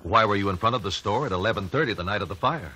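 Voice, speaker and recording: An actor playing a composed gentleman lawyer, measured and formal, in a 1950s radio drama. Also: lowish voice. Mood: pressing. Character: probing, composed gentleman lawyer questioning witnesses. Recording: faintly noisy old radio recording.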